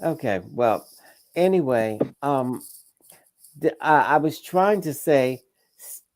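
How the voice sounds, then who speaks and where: A man's voice: mid-range voice, expressive, takes it slowly, poor audio. An American man debating and bantering as a panelist, argumentative and insistent, often repeating himself. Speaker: talk show panelist.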